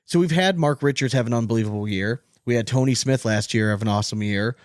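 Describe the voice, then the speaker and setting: A man's voice: mid-range voice, fast, dry recording, clean studio sound. A man hosting a sports podcast in casual conversation, asking long, rambling questions. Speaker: podcast host.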